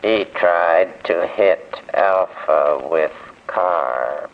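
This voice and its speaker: Very deep, clipped robot killer. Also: male voice, very slow, full character voice. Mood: flat, impassive. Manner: flat, clipped broken sentences naming himself in third person. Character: hulking, obedient robot killer, a male machine man. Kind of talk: 1940s radio acting. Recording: muffled, noisy old radio recording.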